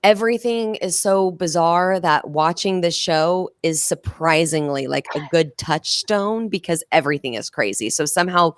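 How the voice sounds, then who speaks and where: A woman's voice: on the low side, normal speed, hardly any pauses, no room sound, clean studio sound. A woman co-hosting a comedy show, chatting casually in an effusive, slangy way. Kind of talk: casual group banter.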